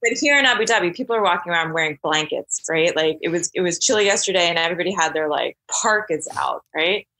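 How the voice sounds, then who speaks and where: A woman's voice: fairly low pitch, fast talker, few pauses, bright, forceful tone. A woman chatting playfully over a remote call from a guest bedroom. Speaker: panel member. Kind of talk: casual chat.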